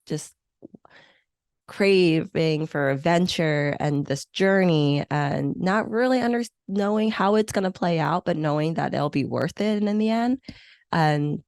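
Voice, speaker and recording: A woman's voice: sits low, a little slow, darkish tone, dry recording, studio quality. A woman from Hong Kong whose English is her third language, speaking reflectively in an interview with many restarts. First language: not English; English is her third language. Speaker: senior meeting planner, guest. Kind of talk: conversational interview.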